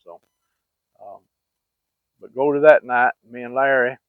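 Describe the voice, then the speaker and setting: A man's voice: mid-range voice, measured pace, clear, resonant tone, no room sound. A middle-aged man telling a rambling, folksy story with many fillers and restarts. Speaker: channel host telling hunting stories. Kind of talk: casual storytelling. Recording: dry, phone-like sound.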